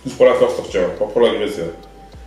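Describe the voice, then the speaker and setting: A man's voice: lowish voice, fairly quick, darkish tone. A man on a reality show, recounting events to the camera in a casual, rambling way. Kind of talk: confessional recounting. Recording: echoey room, from far off, music behind.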